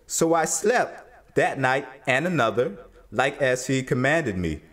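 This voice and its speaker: A man narrating dramatized scripture in a solemn, measured storytelling manner. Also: mid-range voice, lively intonation, a bit bright.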